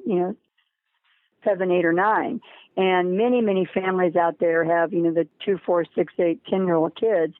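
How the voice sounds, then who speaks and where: A woman's voice: fairly low pitch, no room sound. American woman on a narrow phone line. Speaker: guest, head of a horse-riding charity. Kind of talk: podcast interview, explaining and promoting a program.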